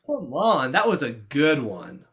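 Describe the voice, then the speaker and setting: Man playing a bright-voiced sardonic teenage boy. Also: mid-range voice, huge pitch swings, a little slow. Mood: mocking. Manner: sarcastic. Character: sardonic sixteen-year-old human boy. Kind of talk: adult comedic stage play reading.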